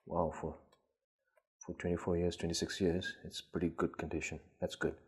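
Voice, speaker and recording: A man with a husky voice giving casual, tentative unboxing commentary, thinking aloud as host. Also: sits low, little pitch movement, normal speed, a little mellow. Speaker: gadget collector and video host.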